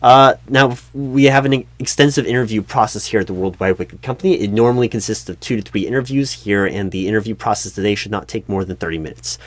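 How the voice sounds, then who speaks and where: A flustered male recruiting manager, acted out by a man in a comedic audio roleplay. His voice sits on the low side and is recorded close and dry. He stammers and hesitates, staying awkwardly polite and oblivious as he conducts a job interview. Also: fast, hardly any pauses, dry recording, lightly acted. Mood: awkward, businesslike.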